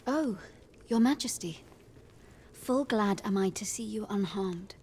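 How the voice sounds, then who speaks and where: An actress playing a gracious, solicitous noblewoman in a fantasy video game, measured and courtly, at times breathy. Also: medium pitch, animated, a little mellow, a little hiss or hum. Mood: gracious, subdued. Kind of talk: video game character dialogue.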